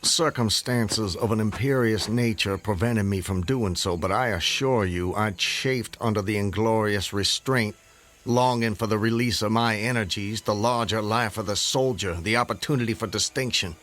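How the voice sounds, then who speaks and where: Man playing a low-voiced proud gentleman. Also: moderate pace, lightly acted. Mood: proud, formal. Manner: formal, grandiloquent. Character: proud, fervent Southern gentleman planter and husband. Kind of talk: radio drama acting.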